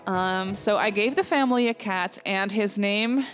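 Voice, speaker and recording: A young woman with a Dutch accent, chatting casually over a game build, with frequent ums and soft laughs. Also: medium pitch, normal speed, soft background music. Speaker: Sims builder and YouTuber.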